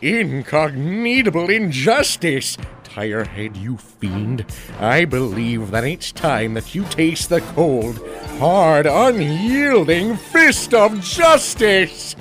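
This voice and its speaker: A man's voice: on the high side, big pitch swings, slow, fairly bright tone, soft background music, full character voice. A man playing a pompous, bombastic superhero who declaims grandly in a comic-book audio drama. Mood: righteous outrage.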